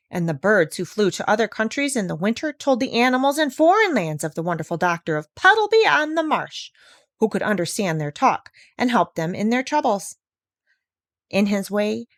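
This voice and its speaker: Expressive woman reading a children's story. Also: medium pitch, no room sound, studio quality. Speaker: audiobook reader. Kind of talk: scripted children's story narration.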